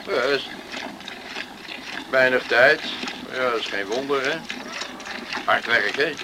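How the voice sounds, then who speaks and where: A proud, overworked farmer, played by a male actor, in a radio drama. His medium-pitched voice is clipped and dismissive, with clear farmyard noise behind it. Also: takes it slowly, natural voice. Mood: curt, stubborn. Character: proud, curt, overworked farmer refusing all help.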